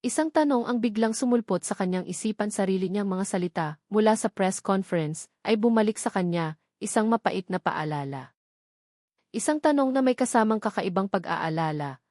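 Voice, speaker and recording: A woman's voice: medium pitch, darkish tone, no echo, clean studio sound. A woman reading a scripted romantic drama audiobook as its narrator.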